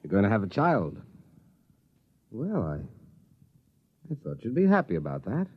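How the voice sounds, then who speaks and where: Kindly physician, husky, extremely animated melody, vintage radio drama. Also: male voice, fairly low pitch, normal speed, heavily acted. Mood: genial, puzzled. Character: kindly male doctor delivering news to patient. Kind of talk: 1950s radio drama acting. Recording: dull old radio recording.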